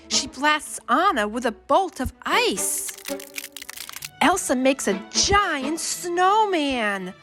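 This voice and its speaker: Lady reading a kids story really slowly, high lively voice, music behind her. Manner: expressive, short deliberate phrases. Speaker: children's read-along storyteller. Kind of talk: simple scripted storybook narration for young children.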